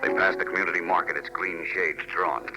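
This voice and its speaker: A man narrates in the theatrical style of 1940s radio serials. His low voice builds suspense with scripted drama. Loud music plays behind him on the old recording. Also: steady tone, fairly quick, poor audio.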